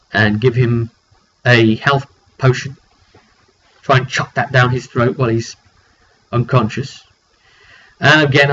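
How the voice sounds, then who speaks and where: A man casually telling the story of a tabletop roleplay session as game master, in an animated way with repetitions and slang. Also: medium pitch. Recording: dull lo-fi mic with background noise.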